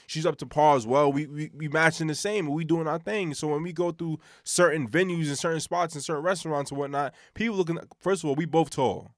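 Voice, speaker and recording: A deep-voiced man co-hosting a casual podcast chat, relaxed and repeating words as he searches for his thoughts. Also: dry recording, studio quality. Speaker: podcast co-host. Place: hot room in a building.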